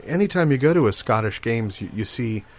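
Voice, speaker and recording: A man's voice: mid-range voice, expressive, brisk, slight background noise. A man improvising comedy banter, mock-earnest and often groping for his words.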